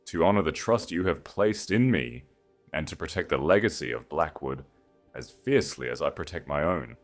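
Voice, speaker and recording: A man voicing a betrayed young noblewoman in an audiobook, cold, measured and precise. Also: rumbling low. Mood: solemn vow.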